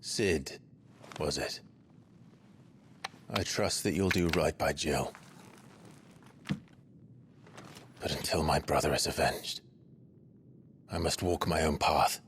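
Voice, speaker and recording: A man voicing a brooding, stubborn young soldier in a video game, with a gravelly voice and a terse, grave, intense delivery. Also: extremely low pitch, slow, long pauses, fairly bright tone, a little hiss or hum, heavily acted. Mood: grim, resolute. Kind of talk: video game cutscene acting.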